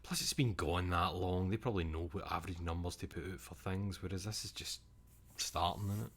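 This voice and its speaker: Deep-voiced Scottish man chatting casually. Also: fairly quick, a bit bright. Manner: rambling, swears casually, reads chat aloud. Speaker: streamer. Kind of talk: casual stream chat.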